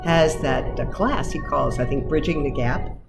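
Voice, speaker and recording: A woman with a deep, low register talks about her singing in a relaxed radio interview. She is chatty and self-deprecating and laughs often. Loud music plays throughout behind her voice. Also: normal speed, vocal fry. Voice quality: lower voice. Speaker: cabaret singer, guest. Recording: music playing loudly underneath.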